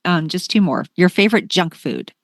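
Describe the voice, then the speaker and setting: A woman's voice: on the low side, animated, moderate pace, no echo, clean studio sound. A woman hosting a casual conversational interview in an agreeable manner. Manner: agreeable, frequent short affirmations. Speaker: interview host.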